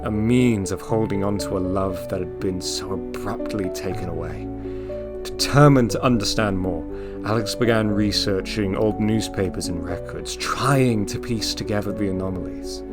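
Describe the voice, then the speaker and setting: Male narrator for audio drama: lowish pitch, scripted dramatic read, music bed behind.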